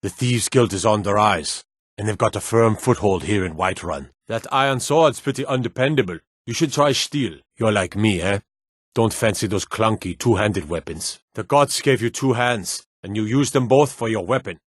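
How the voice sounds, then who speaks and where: A gruff fantasy town guard from a video game, with a low, husky voice recorded very dry. He is suspicious and curt, with a wry, world-weary edge to his remarks. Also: lively intonation, moderate pace, dry recording. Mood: musing, then wry, friendly. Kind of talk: video game character voice lines.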